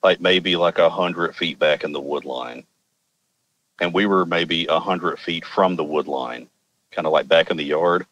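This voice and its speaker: A man's voice: low voice, a little slow, fairly bright tone, a little hiss or hum. A man from Arkansas with an American accent, casually telling a personal story in an informal, rambling, wry way. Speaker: podcast guest telling personal experiences.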